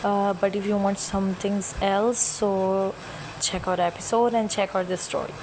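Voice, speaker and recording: A woman whose first language is Urdu reads an interactive story aloud in English, halting and often correcting herself. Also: mid-range voice, little pitch movement, noisy background. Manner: halting, self-correcting reading in non-native English. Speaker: YouTuber reading an interactive story.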